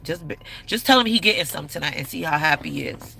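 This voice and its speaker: A woman's voice: deep voice, animated, quick pace, gravelly, bright, forceful tone, slight background noise. A woman in her thirties giving relationship advice in a blunt, candid, slangy way. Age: 30s. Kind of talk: casual advice talk to camera.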